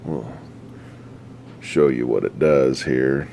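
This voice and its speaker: A man giving a casual, unscripted workbench demonstration in a laid-back, folksy, halting way, often trailing off. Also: very deep voice, gravelly, dark tone, slight background noise. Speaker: radio restoration hobbyist presenting a repair update.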